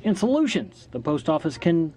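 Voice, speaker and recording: An American man narrates a news report from a script. His voice is a touch higher than usual for a man. There is faint background noise under him. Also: sing-song, normal speed.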